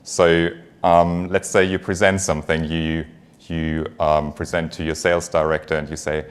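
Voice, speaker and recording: Man, German accent, low deep voice, conversational conference speaker, light humour. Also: level tone, normal speed, smooth. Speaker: finance executive giving a conference talk. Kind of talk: conference presentation.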